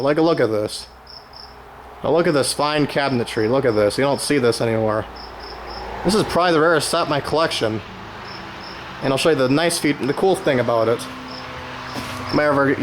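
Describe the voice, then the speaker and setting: A man with a slightly high voice presents like a hobby collector showing off a find. He talks informally and rambles with folksy enthusiasm. The home recording has some faint noise behind him, with cricket-like chirping. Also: low-quality recording. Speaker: vintage radio collector presenting his own channel. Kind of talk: casual unscripted show-and-tell vlog.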